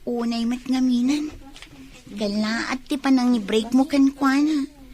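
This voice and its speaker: A woman's voice: on the high side, unhurried, darkish tone, natural voice. A woman playing a concerned young friend in an Ilocano radio drama.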